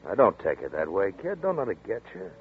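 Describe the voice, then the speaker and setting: Easygoing, wisecracking detective. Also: male voice, low voice, a little fast, phone-quality sound. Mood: calming. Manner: relaxed, joshing. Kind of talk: 1940s radio acting. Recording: scratchy 1940s radio broadcast.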